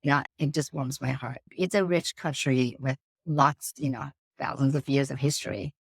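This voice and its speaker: A woman's voice: extremely low pitch, fairly even intonation, moderate pace, vocal fry, slightly rough, no room sound, clean studio sound. Woman with a slight Persian accent. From Iran. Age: 40s. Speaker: company CEO, guest. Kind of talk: podcast interview.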